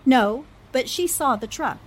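Actress as a confident, higher-voiced lady sleuth. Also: animated, a little hiss or hum. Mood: confident, deducing. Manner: brisk, assured, emphatic. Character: confident, shrewd female newspaper sleuth. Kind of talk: 1940s radio drama acting.

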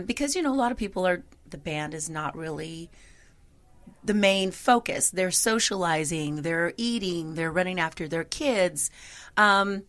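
Low gravelly woman, chatty. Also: fairly bright tone. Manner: chatty, frequent fillers like "you know". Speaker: singer being interviewed. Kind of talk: conversational interview.

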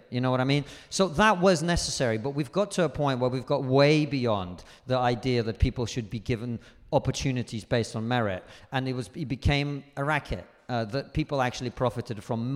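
A man, a comedian guest in a live stage chat, talks in a forthright, emphatic way and swears freely. His voice sits at an ordinary pitch. The hall leaves a long reverb tail on every word. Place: live venue stage before an audience.

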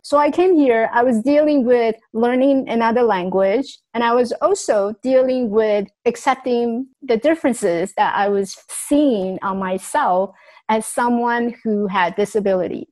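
A woman's voice: fairly high pitch, unhurried, darkish tone, rough recording. Woman from Taiwan telling her story. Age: adult. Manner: earnest, reflective, with small grammar slips. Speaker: speaker sharing her life story. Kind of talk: personal storytelling talk.

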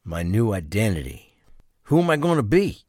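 An actor playing a tough, wary career criminal in a crime audio drama, speaking in a blunt, streetwise way. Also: male voice, fairly low pitch, very animated, normal speed, a little mellow, no room sound, studio quality. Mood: reluctant, resigned.

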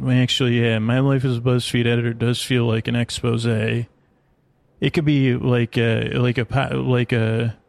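Male sleep podcast host, gravelly fry, close dry sound, meandering and self-interrupting bedtime rambler. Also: mid-range voice, darkish tone, no echo. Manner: meandering, hesitant, self-interrupting, trailing off into tangents.

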